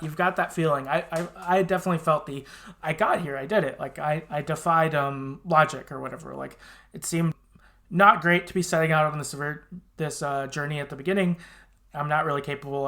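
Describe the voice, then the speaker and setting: A man's voice: a little high, brisk, a bit bright. A man hosting a podcast, chattily recapping a story in informal, sometimes sweary language with loose colloquial asides. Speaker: podcast host. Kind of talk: casual chatty retelling of a game's story.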